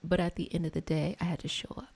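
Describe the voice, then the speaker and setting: A woman hosting an ASMR reiki healing session, talking in a soft, soothing, meandering and conversational way. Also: fairly low pitch, little pitch movement, fast, creaky, slightly rough. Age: adult over 25. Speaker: reiki practitioner and session host. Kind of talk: ASMR guided healing session with casual chat.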